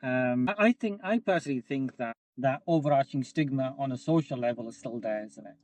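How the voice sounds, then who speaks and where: Man, podcast co-host, mid-pitched, measured and reflective, dry close sound. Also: a little mellow, no room sound. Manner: thoughtful, measured, with fillers. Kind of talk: casual podcast discussion.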